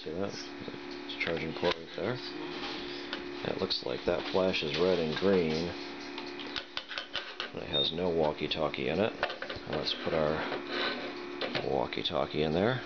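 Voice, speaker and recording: A man with a very low voice talks casually through a product unboxing. He thinks aloud with some hesitation as he works. The recording is dull and lo-fi, with heavy noise throughout. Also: a little slow, long pauses. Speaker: product reviewer. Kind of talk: casual unboxing and demonstration talk. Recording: dull lo-fi sound with loud noise.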